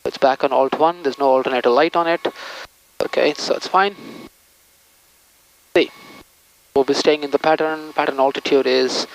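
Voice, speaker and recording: A man's voice: medium pitch, steady tone, normal speed, long pauses, rough recording. A man, a pilot, calling out pre-flight checks to himself in clipped, methodical phrases in a small plane cockpit.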